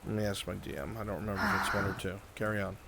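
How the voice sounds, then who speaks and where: A man with a fairly low voice narrates a roleplay game as game master. He describes scenes to the player in a measured manner, with light background noise and rain behind him. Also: level tone, creaky.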